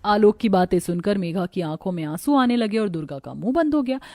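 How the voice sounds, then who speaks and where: A woman's voice: medium pitch, animated, brisk, dark tone, dry recording. A woman narrating a scripted cartoon moral story.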